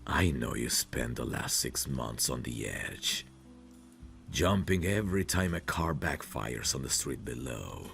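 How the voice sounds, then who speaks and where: A man playing a possessive, protective mafia boss in an intimate audio roleplay, calmly menacing, commanding and smug. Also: full character voice. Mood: smug, soothing. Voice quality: deep, gravelly. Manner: slow, commanding, smug, teasing endearments.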